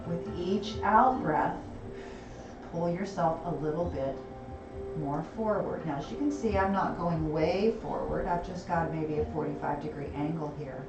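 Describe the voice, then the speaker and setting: A woman teaching a gentle yoga class, calmly cueing breath and movement, her voice a little gravelly and hoarse. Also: sits low, measured pace. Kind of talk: gentle guided yoga instruction. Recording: roomy, distant, music behind.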